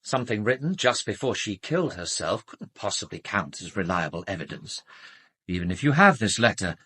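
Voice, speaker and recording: A man's voice: fairly low pitch, big pitch swings, brisk, pushed and bright, slightly rough, exaggerated voice. An actor with a British accent playing an arrogant doctor in a radio drama, haughty, precise and emphatic in his own defence. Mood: dismissive. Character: arrogant, self-justifying male doctor under police questioning.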